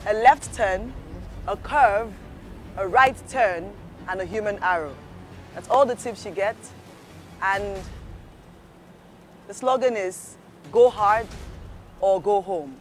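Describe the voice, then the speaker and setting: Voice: medium pitch, slow, long pauses, faint music behind. A show host, presenting in an upbeat, emphatic scripted style.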